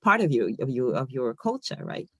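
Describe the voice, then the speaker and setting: A low-pitched woman in her forties from Ghana, the guest on a video-call interview. She tells her story in an animated, storytelling way, with a dry, close sound. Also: brisk, slightly rough, no room sound. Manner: animated storyteller, laughs often, acts out quoted voices. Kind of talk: casual interview conversation.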